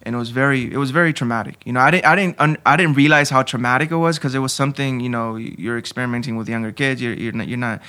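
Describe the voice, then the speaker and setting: A man's voice: medium pitch, fast, few pauses, pushed and bright, clear, resonant tone. A man in his twenties from Honduras, a podcast guest speaking candidly and searchingly, restarting his sentences often. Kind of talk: conversational interview.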